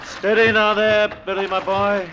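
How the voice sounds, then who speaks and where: An actor playing a steady, fatherly, commanding shipwrecked sea captain in an old-time radio drama. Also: high voice, measured pace. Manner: authoritative, fatherly, calm. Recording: muffled, noisy old radio recording.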